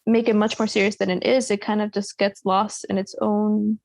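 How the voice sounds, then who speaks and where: A woman's voice: mid-range voice, level tone, normal speed, creaky, darkish tone, no echo. A woman chatting casually and opinionatedly about books as a podcast guest, often slipping in conversational asides. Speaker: book club podcast guest. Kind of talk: casual book discussion.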